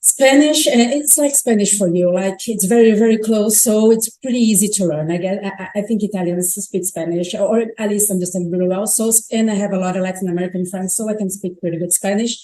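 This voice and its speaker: A woman's voice: mid-range voice, brisk, hardly any pauses, mellow, low-quality recording. A Brazilian woman, a native Portuguese speaker, giving a conference talk on stage in a chatty way, often restarting her sentences. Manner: chatty, self-correcting, frequent restarts.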